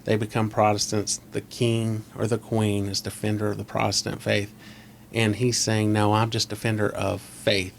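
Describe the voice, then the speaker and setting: A man's voice: fairly low pitch, little pitch movement, normal speed, vocal fry. Scratchy-voiced man hosting a chat podcast. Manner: opinionated, rambling, asks leading questions. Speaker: podcast host. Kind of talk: casual chat. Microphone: close desk mic on a boom arm.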